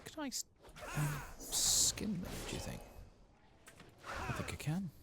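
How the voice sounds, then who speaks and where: A soft-spoken man doing casual, unhurried ASMR commentary over a game playthrough, sometimes dropping toward a whisper. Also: high-pitched, wildly swooping pitch, creaky, heavy background noise. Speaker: host of a gaming ASMR channel.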